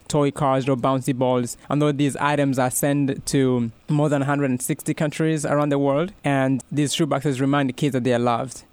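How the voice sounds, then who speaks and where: A man's voice: mid-range voice, darkish tone, clean tone, no echo. A man in his thirties giving an earnest, heartfelt personal testimony as a guest in a radio interview, with frequent restarts. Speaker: radio guest, ministry worker.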